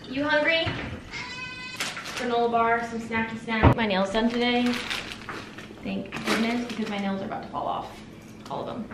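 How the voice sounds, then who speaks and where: Young woman vlogger chatting away at home, kinda high voice, really echoey camera audio. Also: fairly even intonation, normal speed, long pauses. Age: young adult. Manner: chatty, informal, thinking aloud in short phrases. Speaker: lifestyle vlogger. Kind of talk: casual chatty day-in-the-life vlog. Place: apartment kitchen and rooms, indoors. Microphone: camera mic a few feet away. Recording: roomy, distant, noisy camera audio.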